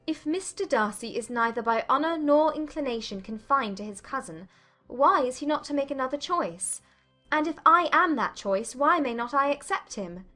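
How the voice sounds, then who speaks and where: Young gentlewoman played by a woman, high voice, poised and defiant, articulate period audiobook delivery over soft music. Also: expressive, normal speed. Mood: cool, challenging. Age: young adult. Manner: composed, articulate, firm. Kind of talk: dramatized audiobook acting.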